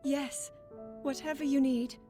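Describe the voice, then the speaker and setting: Zelda, earnest and high. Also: female voice, steady tone, unhurried, mellow, faint music behind. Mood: uncertain. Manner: earnest, hesitant, uncertain. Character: Zelda, young princess lost in time, earnest. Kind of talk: game cutscene voice acting.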